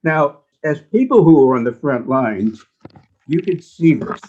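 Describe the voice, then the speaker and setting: An older man recalling his experiences as a veteran reporter on a panel, with emphatic, halting phrasing and stressed words. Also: fairly high pitch, very animated, darkish tone. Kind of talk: personal recollection in a panel discussion. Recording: dull, lo-fi audio.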